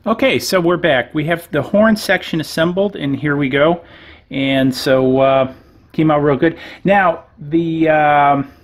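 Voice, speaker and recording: A man giving a casual hands-on tutorial, thinking aloud in a halting way. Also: on the high side. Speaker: host of a maker show.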